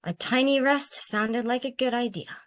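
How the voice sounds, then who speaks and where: A woman's voice: fairly high pitch, normal speed, no echo, clean studio sound. A woman who hosts read-alouds of picture books and chats about them in an encouraging way. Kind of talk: picture-book read-aloud and casual discussion.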